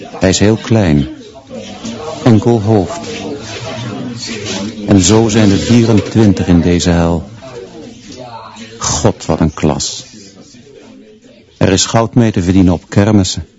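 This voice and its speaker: An actor playing a grim, contemptuous schoolteacher in a radio drama, narrating in clipped, deliberate short sentences. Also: male voice, sits low, music in the background. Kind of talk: literary radio drama monologue. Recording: dull, muffled sound.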